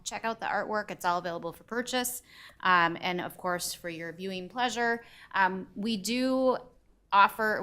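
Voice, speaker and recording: A woman on city staff giving a measured report to the council, with frequent ums. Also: lowish voice, sharp tone, smooth. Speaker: city administrator. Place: council chamber.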